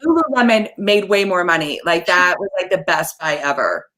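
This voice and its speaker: An energetic woman hosts a casual interview over a video call. Her voice sits a little low, and she talks in quick, chatty bursts with restarts. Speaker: host.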